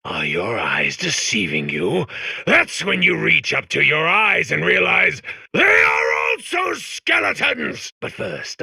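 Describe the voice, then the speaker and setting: Raspy, manic horror-tale host. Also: male voice, no room sound, exaggerated voice. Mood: ominous, escalating, then grave. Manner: melodramatic, swinging from hushed whispers to shrill shouts. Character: manic, theatrical male terror-tale host, grandiose and absurdly overdramatic. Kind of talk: mock-horror storytelling.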